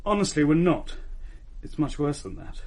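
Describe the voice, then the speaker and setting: Earnest, anxious British husband. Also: male voice, on the high side, very animated, normal speed, a little mellow. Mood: desperate, pleading. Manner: earnest, hesitant, pleading. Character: polite, anxious young husband pleading for help. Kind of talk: radio drama acting.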